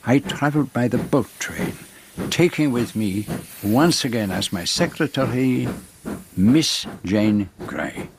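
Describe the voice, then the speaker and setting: An actor playing a fussy, shrewd detective in a radio drama, with a French-speaking European accent and precise, formal phrasing. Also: male voice, mid-range voice, animated, takes it slowly, creaky, noisy background. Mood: measured, narrating. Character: fussy, shrewd, courteous foreign male detective. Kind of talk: radio drama acting and first-person narration.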